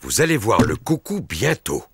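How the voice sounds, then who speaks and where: A man's voice: lowish voice, very animated, moderate pace, slightly rough, no echo, clean studio sound, full character voice. A man playing a jovial, playful daddy pig in a children's cartoon dub, exclaiming expressively. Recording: music bed behind the voice.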